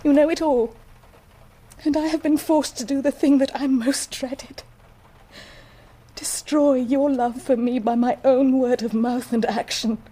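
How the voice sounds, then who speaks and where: An actress playing an anguished, remorseful wife and mother in a period radio drama, speaking with halting emotion. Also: high-pitched, fairly even intonation, mellow. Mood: anguished confession.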